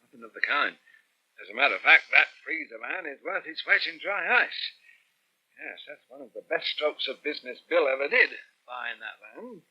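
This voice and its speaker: An actor playing a blunt, breezy British businessman who chaffs his partner in a radio soap, husky-voiced, on a poor old recording. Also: on the high side, expressive, normal speed, pushed and bright, lo-fi sound, heavily acted. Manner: chaffing, colloquial.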